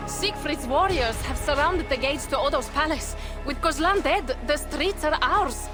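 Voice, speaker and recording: A woman's voice: high-pitched, lively intonation, measured pace, loud music behind the voice. An actress playing a grave, resolute woman at war in a medieval video game, speaking earnestly and urgently. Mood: grave resolve. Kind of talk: dramatic game cutscene acting.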